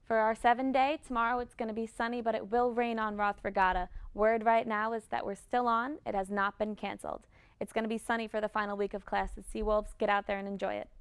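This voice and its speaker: A woman's voice: on the high side, flat delivery, quick pace, clear, resonant tone. A young adult woman working as a student news anchor, delivering scripted campus news.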